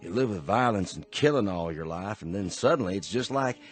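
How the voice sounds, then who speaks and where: An actor playing a chatty, proud Old West saloon keeper in a radio drama, with an American accent and a folksy, earnest manner. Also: male voice, low voice, lively intonation, a little fast, soft background music. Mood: friendly, curious.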